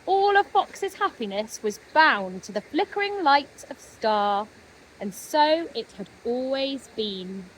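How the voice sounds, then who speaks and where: A woman narrating a children's story expressively, with gentle dramatic pauses. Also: high voice, expressive, a little hiss or hum, poor audio. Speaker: storybook reader.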